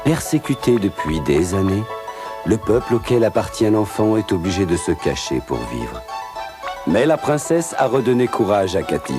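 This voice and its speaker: Deep, lively cartoon narrator man. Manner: measured, storybook telling. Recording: studio voice-over over music.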